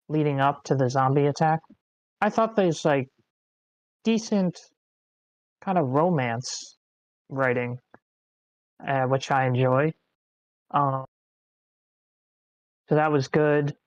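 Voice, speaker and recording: A woman's voice: rumbling low, a little mellow, dry recording, muffled recording. A woman giving casual, tentative, rambling feedback in a group discussion. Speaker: writing group member giving script feedback. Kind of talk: casual chat.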